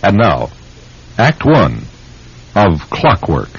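Low, animated male radio narrator. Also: slow, a little hiss or hum. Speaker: announcer and narrator. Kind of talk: dramatic scripted radio narration. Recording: dull radio recording over music. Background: music.

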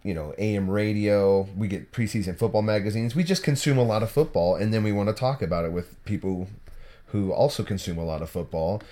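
A man's voice: lowish voice, fairly quick, mellow. A man co-hosting a football podcast, rambling and self-deprecating, with lots of "you know". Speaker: podcast co-host. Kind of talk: casual football chat.

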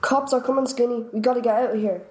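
A man's voice: very high-pitched, a little fast, voice pushed up. A man doing a panicky young robber. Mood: frantic. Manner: shouting, frantic.

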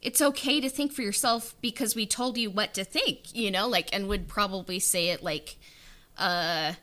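A woman's voice: mid-range voice, normal speed, bright, forceful tone. A woman in a casual interview chat about creative work, talking hesitantly and rambling with lots of filler words. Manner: hesitant and rambling, leans heavily on "like" and "you know". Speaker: writer and director of a fan audio drama being interviewed. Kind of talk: casual behind-the-scenes chat.

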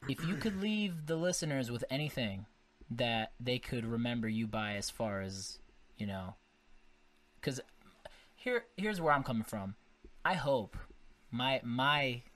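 A man's voice: mid-range voice, fairly bright tone. A man hosting a podcast, interviewing casually and wordily, often circling back to rephrase his questions. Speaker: podcast host.

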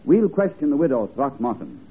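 An actor playing a pompous, absent-minded master detective with theatrical delivery in a 1950s radio comedy spoof. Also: male voice, medium pitch, wildly swooping pitch, a little fast, breathy edge, heavily acted. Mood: theatrical impatience. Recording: tinny, band-limited old radio recording with hiss.

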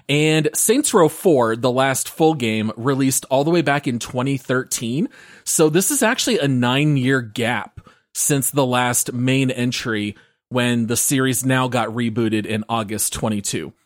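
A man hosts a gaming podcast in a relaxed, upbeat way, steering the talk along. His voice sits at an ordinary middle pitch. It is recorded close and dry, with no room sound. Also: measured pace, dry recording. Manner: upbeat, leads the segment. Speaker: podcast host. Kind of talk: casual podcast chat.

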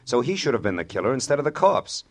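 An actor playing a wry, terse, cool-headed hard-boiled private detective who narrates a 1940s radio noir. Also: male voice, medium pitch, big pitch swings, quick pace. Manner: terse, wisecracking.

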